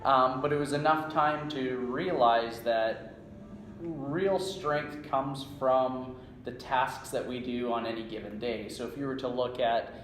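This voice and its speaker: A man's voice: medium pitch, steady tone, measured pace, fairly bright tone, slight background noise. A Canadian-accented man, a coach being interviewed, explaining things conversationally and often checking in with "right?". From small-town Saskatchewan, Canada. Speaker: strength coach, interview guest. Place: indoor room at a conference. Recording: roomy echo, faint background ambience.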